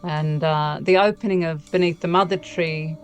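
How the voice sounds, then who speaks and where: A woman's voice: low voice, fairly even intonation, normal speed, soft background music. A woman novelist speaking reflectively and conversationally as an interview guest.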